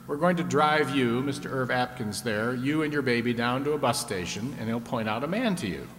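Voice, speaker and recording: A man's voice: medium pitch, animated, fairly quick, a bit bright, lightly acted. A man playing a genial, teasing game show host on a 1950s style radio audience show, with playful, folksy patter. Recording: echoey hall, clear background noise.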